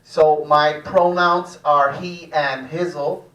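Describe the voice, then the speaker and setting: Slow, high-voiced male stand-up comedian. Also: fairly even intonation, pushed and bright. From Germany. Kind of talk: live stand-up routine. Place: small live comedy room at a cafe. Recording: echoey room, distant stage microphone.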